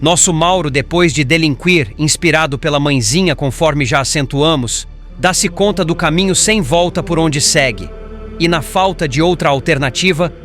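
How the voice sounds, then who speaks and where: Man playing a higher-pitched wise spirit mentor. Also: natural voice. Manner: didactic, formal, assured. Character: wise male spirit instructor, calm and compassionate. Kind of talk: audiobook dialogue. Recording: soft music bed.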